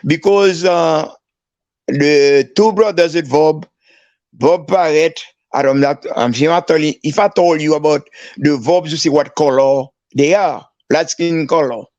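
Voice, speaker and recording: An older man from Haiti, a former footballer, telling stories in an interview with many halting restarts and fillers. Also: fairly high pitch, a little mellow, no room sound, dull audio. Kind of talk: storytelling interview.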